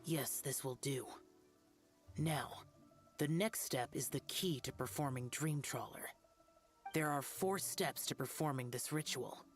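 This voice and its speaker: An actress playing a stern, formal master of an ancient ritual art, instructing in measured tones in a video game. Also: female voice, extremely low pitch, soft background music.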